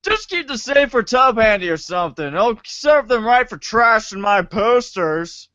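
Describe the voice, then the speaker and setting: A man's voice: sits high, big pitch swings, measured pace, sharp tone, no room sound, exaggerated voice. A man playing an exasperated, suspicious young boy in an amateur readers' theater reading of a webcomic. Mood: flustered. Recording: dull lo-fi microphone sound.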